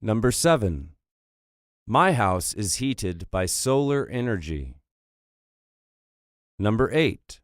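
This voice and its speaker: A man reading English listening exercises slowly and clearly for learners, in a scripted narration. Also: on the low side, big pitch swings, no room sound, clean studio sound. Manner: clear, carefully paced dictation. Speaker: audio recording narrator.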